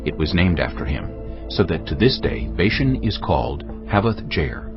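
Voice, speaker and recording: Very deep-voiced male narrator. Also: very animated, moderate pace, muffled recording, over music. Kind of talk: scripted scripture narration.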